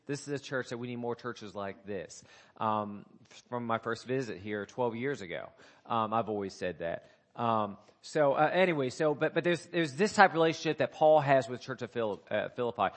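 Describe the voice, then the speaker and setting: A man serving as pastor, preaching to his congregation with a scratchy voice from a coming cold, talking haltingly with many fillers and restarts. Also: medium pitch. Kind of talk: sermon. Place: church sanctuary.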